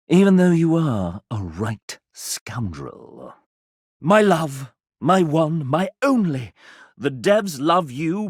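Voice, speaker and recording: An actor voicing a sassy, vain gentleman mascot in a video game, theatrical and pompous, with flamboyant old-fashioned phrasing and a husky edge. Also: fairly high pitch, dry recording, studio quality, exaggerated voice. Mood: gushing affection. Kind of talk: video game character dialogue.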